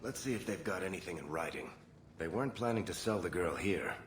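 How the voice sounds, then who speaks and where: An actor playing a roguish, flirtatious, self-satisfied adventurer in a video game, teasing and smug. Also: male voice, low-pitched, expressive, a little fast, roomy sound, slight background noise. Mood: casual, teasing.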